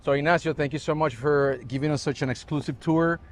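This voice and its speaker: A man's voice: a little high, fairly even intonation, brisk, slight background noise. A man chatting casually and appreciatively as the interviewer on a property tour. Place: walking outdoors on a city street.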